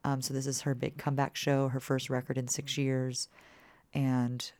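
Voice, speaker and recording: A woman in her thirties with a low voice, chatting casually as a musician guest and filling her talk with "like". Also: level tone, moderate pace, a little mellow, no room sound. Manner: chatty, peppered with "like" fillers. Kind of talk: casual interview chat.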